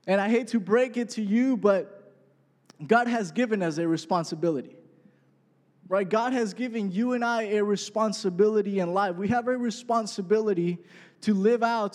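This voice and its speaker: A man's voice: high voice, a little mellow. A man in his twenties preaching a sermon in a conversational way, often checking in with the congregation. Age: 20s. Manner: conversational preaching, frequent "right?" asides. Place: church hall. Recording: echoey hall.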